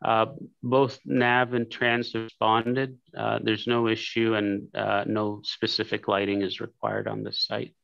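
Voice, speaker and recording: A man with a low, gravelly voice answers technical questions from a council, hesitantly and with many fillers. He sounds polite throughout. The call audio is dry and somewhat dull. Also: flat delivery, unhurried, a bit bright, dry recording. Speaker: applicant's representative answering council. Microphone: video call.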